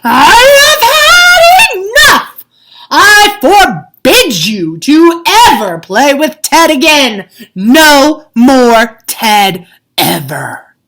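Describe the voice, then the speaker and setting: A woman voicing a stern, exasperated father in a read-aloud storybook, speaking loudly and emphatically. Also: high voice, very animated, extremely slow, very noisy, voice pushed up, exaggerated voice. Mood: furious. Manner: loud, emphatic, scolding.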